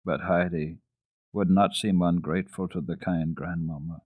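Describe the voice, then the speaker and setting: Deep, husky male storyteller. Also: steady tone, normal speed, no echo, phone-quality sound. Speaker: reader of children's classics on a radio program. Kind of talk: dramatic storybook narration.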